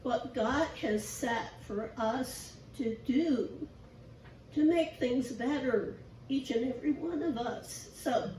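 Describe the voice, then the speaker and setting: Squeaky, raspy woman preaching slowly. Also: a little mellow, far from the mic. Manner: chatty, self-deprecating, asks the congregation questions. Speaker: lay preacher. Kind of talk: informal sermon. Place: church sanctuary. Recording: echoey church from afar, faint hiss.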